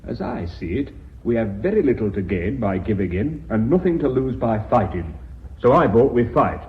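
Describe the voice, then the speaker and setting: An actor playing a sardonic, outspoken company director in a British radio drama, blunt and mocking. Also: male voice, medium pitch, sing-song, moderate pace, natural voice. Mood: blunt, wry. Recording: muffled, band-limited old radio recording.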